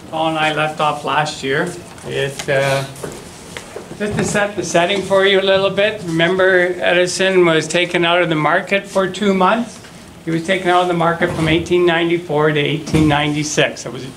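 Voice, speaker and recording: A man's voice: fairly high pitch, fairly bright tone. A man in his fifties presenting an informal history lecture in a plain-spoken, explanatory way. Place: classroom with seated audience. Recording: roomy echo, faint noise.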